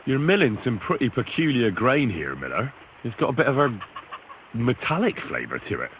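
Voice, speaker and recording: An actor playing a young drifter looking for work, pushy and sarcastic. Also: male voice, medium pitch, very animated, moderate pace, fairly bright tone, a little hiss or hum, rough recording. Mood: probing.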